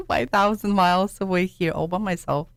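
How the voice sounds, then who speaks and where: A woman in her thirties from Russia with a Russian accent, telling her life story as a guest in a studio interview, animated and quick to laugh. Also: lowish voice, creaky, dry recording. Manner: animated, laughs often, drops articles. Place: podcast studio.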